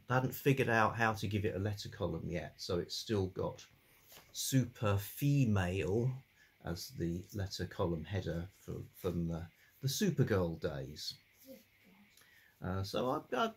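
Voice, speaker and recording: Brief: older book vlogger, calm and meandering, low voice, husky, at his desk on a webcam. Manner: calm, rambling with many fillers. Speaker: book channel host. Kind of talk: casual chat about old books. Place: home study lined with bookshelves.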